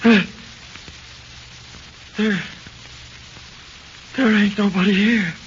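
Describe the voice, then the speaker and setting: A man's voice: sits high, steady tone, drawn-out words. A man with an American accent playing a tough-talking but easily frightened jailed crook in 1940s radio horror, slangy and tense. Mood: frightened disbelief. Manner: slangy, uneducated, tense delivery. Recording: muffled, hissy 1940s radio recording.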